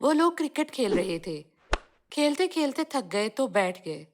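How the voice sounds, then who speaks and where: High-pitched woman narrating a children's story. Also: very animated, measured pace, a little hiss or hum. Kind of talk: scripted cartoon storytelling narration.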